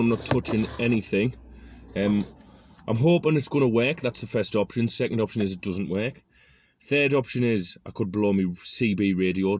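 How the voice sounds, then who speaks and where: A man giving a casual, self-deprecating hands-on demonstration, thinking aloud in an informal way as he works in a car. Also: medium pitch. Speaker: car DIY video host. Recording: clear background noise.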